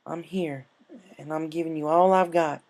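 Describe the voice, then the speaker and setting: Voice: medium pitch, fairly even intonation, moderate pace, darkish tone, close to an everyday voice. A performer voicing a stubborn, honest female farm pony in a cartoon fan story. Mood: sincere.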